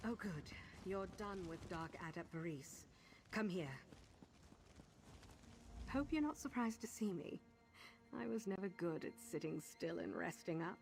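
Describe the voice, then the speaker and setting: An actress playing a restless, plucky rebel ally in a video game, speaking in a familiar, practical, confiding way. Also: female voice, medium pitch, animated, measured pace, pauses often, darkish tone, faint music behind. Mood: pleased, wry. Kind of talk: scripted video game dialogue.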